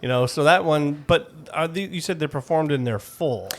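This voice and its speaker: A man's voice: fairly high pitch, normal speed. A man co-hosting a podcast, chatting casually with a guest in a rambling, jokey, self-deprecating way. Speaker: podcast co-host interviewing a guest. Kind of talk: casual, bantering interview chat.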